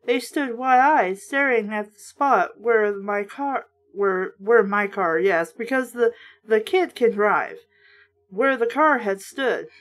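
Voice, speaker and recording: A woman with a fairly high voice hosts a read-aloud of a children's book. Her narration is casual and conversational, with a sardonic, blunt edge to her commentary. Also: expressive, slow pace. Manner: sardonic, blunt, swearing asides. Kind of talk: storybook narration with casual asides.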